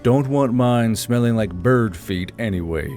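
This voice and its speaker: A man voicing a gruff, stubborn soldier in an audiobook, with a flat, growling, sardonic delivery. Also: mid-range voice, unhurried, close to an everyday voice. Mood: defiant, growling. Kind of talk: fan audiobook character acting. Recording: background music under the voice.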